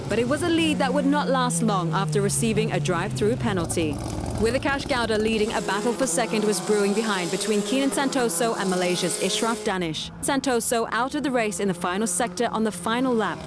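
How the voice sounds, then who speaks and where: A woman's voice: a little high, lively intonation, a little fast, very noisy. A woman reading scripted motorsport narration as a race series narrator. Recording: loud music under voice. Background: loud music, race cars.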